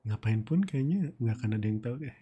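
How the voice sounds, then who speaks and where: Man playing a breathy, mellow, flirtatious boss. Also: medium pitch, lively intonation. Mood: playful, reassuring.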